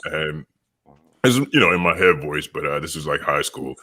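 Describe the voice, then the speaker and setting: Very deep-voiced man, bright tone. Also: moderate pace, dry recording. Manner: relaxed storytelling, chuckles, many fillers. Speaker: opera singer, bass. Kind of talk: casual panel chat. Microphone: video call.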